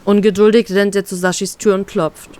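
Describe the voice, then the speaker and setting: A woman narrating her own fan fiction aloud in a steady, plain reading voice. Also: medium pitch, a little fast, clear, resonant tone.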